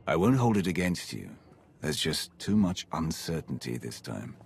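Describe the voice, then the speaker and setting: A man playing a stern, authoritative Sith warrior commander in a video game cutscene, with a deep gravelly voice. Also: very animated, moderate pace, full character voice.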